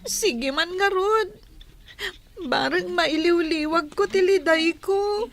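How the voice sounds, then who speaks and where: A woman's voice: extremely high pitch, takes it slowly, exaggerated voice. An actress playing a plaintive, pleading young girl who feels hurt, in a radio drama. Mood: sad.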